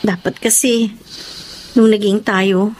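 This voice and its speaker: A woman's voice: medium pitch, fairly even intonation, takes it slowly, a little mellow, slight background noise, natural voice. A woman playing a hurt, anxious young woman in love in a Filipino romantic radio drama, emotive and pleading. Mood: uneasy. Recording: music under the voice.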